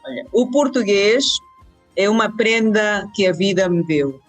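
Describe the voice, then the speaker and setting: A woman's voice: medium pitch, animated, unhurried, faint music behind. Earnest Venezuelan woman guest. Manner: warm, earnest, with repeated restarts. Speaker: university department head. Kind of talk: interview answers.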